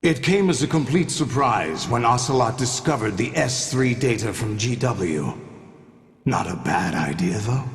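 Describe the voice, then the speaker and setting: An actor playing a menacing middle-aged male commander, cold and scheming, speaking in a measured, condescending way in a video game cutscene, with echoey hall reverb. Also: mid-range voice, gravelly. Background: music.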